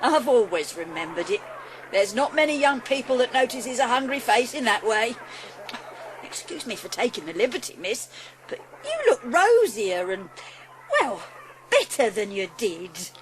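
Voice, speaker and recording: A woman's voice: fairly high pitch, very animated, moderate pace, noisy background. A woman playing a good-natured, humble baker in a dramatised storybook, chatty and deferential. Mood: kindly, deferential. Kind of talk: dramatised audiobook acting.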